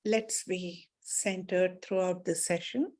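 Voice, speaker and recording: A woman leading a guided meditation and spiritual talk in a measured, soothing manner, pausing often and deliberately between phrases. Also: fairly low pitch, fairly even intonation, darkish tone.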